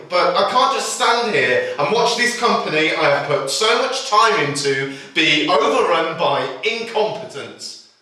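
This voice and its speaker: A man's voice: sits high, bright, forceful tone. A man playing a flustered, indignant office employee, stammering in exasperation at a script table read. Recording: very echoey room, distant mic.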